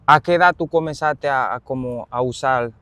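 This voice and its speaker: A man conducting a casual interview outdoors, phrasing his questions in a halting, roundabout way. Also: medium pitch, a bit bright, clean tone, no echo. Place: outdoors in an open park.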